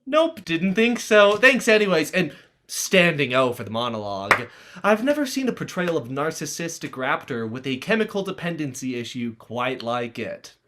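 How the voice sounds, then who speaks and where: Lively, wry teenage boy played by an actor. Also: medium pitch, slight background noise. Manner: halting, sardonic. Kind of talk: dramatized stage script reading.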